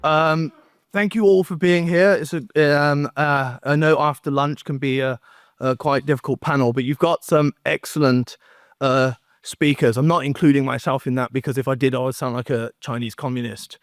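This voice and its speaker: A man giving an academic conference talk in a wry, self-deprecating, halting way, with joking asides. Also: mid-range voice, fairly even intonation, dry recording, studio quality. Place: conference room, speaking standing before an audience.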